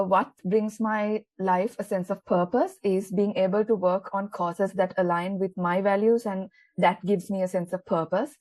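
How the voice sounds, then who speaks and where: A woman from Sri Lanka giving a careful personal statement as a gender equality advocate, often pausing with fillers. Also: mid-range voice, darkish tone, dry recording, poor audio.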